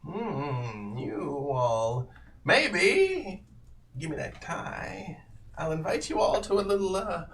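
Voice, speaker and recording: A man voicing a creepy old stranger in scavenged rags who carries a lantern, speaking in a slow, wheedling, sly way, a little away from the mic. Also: a little high, sing-song, creaky, a bit bright, distant mic, pitched above the performer's own voice, heavily acted.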